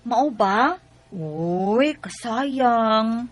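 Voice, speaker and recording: A woman's voice: a little high, expressive, very slow, dry recording, exaggerated voice. A woman with a Cebuano accent playing a chatty, teasing co-worker and matchmaking friend in a radio drama. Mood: teasing, coaxing. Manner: gossipy, coaxing. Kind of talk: Bisaya radio drama acting.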